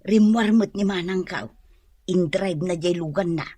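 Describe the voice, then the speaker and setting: A woman's voice: lowish voice, a little slow, warm tone, breathy edge, no echo. A woman with an Ilocano accent playing a nagging wife in a radio drama. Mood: concerned. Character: nagging wife worried about her sister's young workers.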